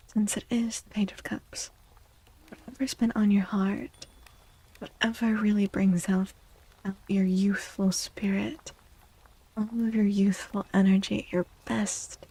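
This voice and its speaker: A soft-spoken woman livestreaming an ASMR tarot reading, gentle and soothing, with a breathy voice that often slips into a whisper. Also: medium pitch, poor audio. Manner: gentle, soothing, unhurried reassurance.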